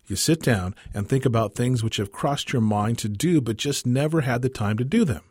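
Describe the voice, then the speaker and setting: A man's voice: medium pitch, normal speed, darkish tone, slightly rough, dry recording, studio quality, natural voice. A man voicing a farmer's grown daughter in a short story, opinionated and emphatically explaining. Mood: eager explaining.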